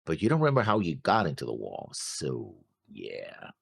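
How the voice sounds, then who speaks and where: A man's voice: a bass voice, huge pitch swings, moderate pace, gravelly, fairly bright tone, husky, no echo, studio quality. A man co-hosting a casual discussion show, rambling through his notes and acting out imagined remarks. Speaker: reaction show co-host.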